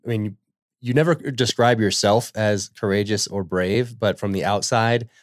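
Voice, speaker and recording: A man hosting a podcast, reading an earnest introduction and then asking halting questions full of fillers. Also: on the low side, no room sound, clean studio sound. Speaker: podcast host.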